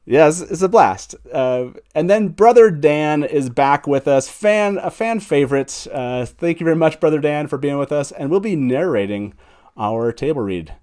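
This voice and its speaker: A man's voice: fairly high pitch, animated, normal speed, a little mellow. Enthusiastic male talk show host. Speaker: host of a live call-in fan talk show. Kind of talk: casual show hosting, introducing guests.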